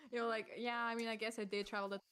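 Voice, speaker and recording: German-accented woman chatting on stream. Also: on the high side. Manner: laughing, swearing, animated and self-mocking. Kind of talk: casual stream chat.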